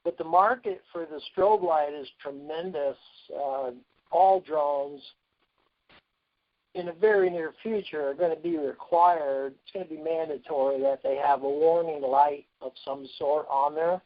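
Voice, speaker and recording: A man speaking as a company executive on a conference call, pitching a product in a plain-spoken, salesman-like way. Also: unhurried. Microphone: phone. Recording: dull lo-fi conference phone line.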